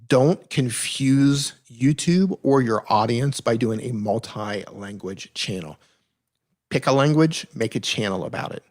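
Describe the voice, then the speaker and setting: A man hosts a live question-and-answer stream in a relaxed, chatty way. He reads viewer questions aloud and answers them with direct, practical advice, often restarting his sentences as he thinks. His voice sits at a middle pitch for a man. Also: a little slow. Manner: direct, plain-spoken advice with frequent self-corrections.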